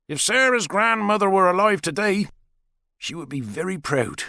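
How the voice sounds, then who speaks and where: A man's voice: sits high, animated, moderate pace, vocal fry, sharp tone, dry recording, studio quality, voice pushed up, heavily acted. A man narrating an audiobook, voicing a middle-aged father who chats breezily about his past in a raised voice. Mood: chatty.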